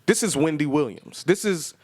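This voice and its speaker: High-pitched, blunt American man, dry close sound. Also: lively intonation, moderate pace, no room sound. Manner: blunt, slangy, profane. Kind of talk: casual opinionated chat.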